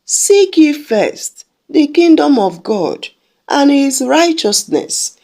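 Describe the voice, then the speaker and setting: Stern, sermonizing male pastor, read by a woman, slow and lilting. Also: high voice, big pitch swings, mellow, pitched above the performer's own voice, full character voice. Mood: preaching. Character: calm older male pastor, preachy and stern.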